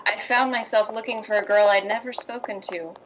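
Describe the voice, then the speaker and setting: A woman's voice: medium pitch, fairly even intonation, a little fast, noisy background, lightly acted. A woman playing a kindly, worldly playwright's wife in a 1950s radio drama. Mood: wistful, reflective.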